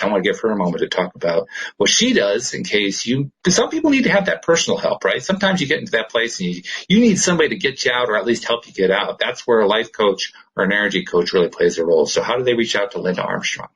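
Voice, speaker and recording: A man with a fairly low, very husky voice hosts a chatty podcast. His intonation moves up and down a lot, and he sounds upbeat and enthusiastic as he talks casually to his listeners and co-host. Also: sing-song, fast talker, fairly bright tone, rough recording.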